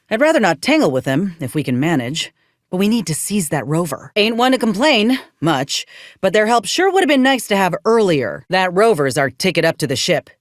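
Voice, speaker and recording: A woman's voice: sits low, no room sound. An actress voicing a plain-spoken, loyal young woman fighter in a video game, with a folksy, wry manner. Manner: folksy, dry-witted. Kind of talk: video game companion voice lines.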